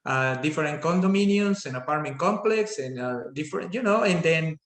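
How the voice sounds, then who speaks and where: A man's voice: a little high, animated, a bit bright. Colombian man in his fifties, echoey. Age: 50s. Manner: halting, many fillers and restarts. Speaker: guest, business owner. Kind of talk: casual interview storytelling.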